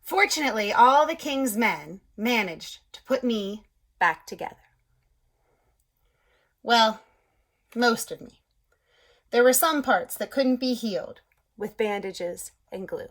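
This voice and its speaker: A woman voicing a timid but hopeful young male egg in a storybook, speaking softly and dropping to a whisper at scared moments. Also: fairly high pitch, expressive, slow, pauses often, full character voice. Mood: wistful.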